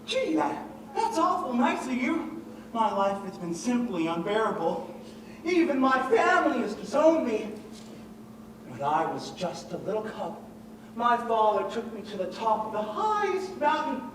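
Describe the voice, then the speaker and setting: Big cowardly lion for a vintage movie musical: slow, boastful, then wailing; distant, echoey sound with music. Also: male voice, very high voice, big pitch swings, slight background noise, exaggerated voice. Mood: self-pitying. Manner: boastful bluster sliding into whimpering self-pity. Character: blustering cowardly male lion, big and timid. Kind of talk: 1930s film musical acting.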